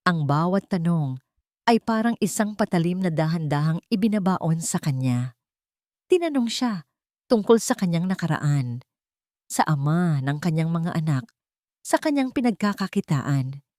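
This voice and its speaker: A woman narrating a scripted dramatic story with measured, suspenseful phrasing. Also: fairly low pitch, lively intonation, warm tone, no room sound, studio quality.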